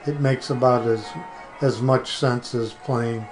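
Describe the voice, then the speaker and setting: A man with a mid-pitched voice chats as a guest. His delivery is halting and deliberate, and music is clearly heard behind him. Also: fairly even intonation. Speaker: podcast guest. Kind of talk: casual chat.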